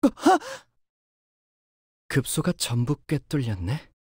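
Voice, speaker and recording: Timid young man fighter, expressive pitch. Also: slow, husky, no echo, clean studio sound, exaggerated voice. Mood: flustered, anxious. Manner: hesitant, soft-spoken politeness. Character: timid, polite young male fighter with cold focus. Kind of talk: Korean video game character voice lines.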